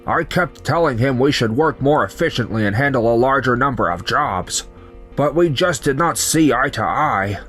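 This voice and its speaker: A man's voice: medium pitch, expressive, moderate pace, a bit bright, close to an everyday voice. A man voicing young company men in a manga dub, with narration, over background music. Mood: earnest, explanatory. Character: betrayed young company founder, calm and resigned, and his coworkers. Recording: music under the voice.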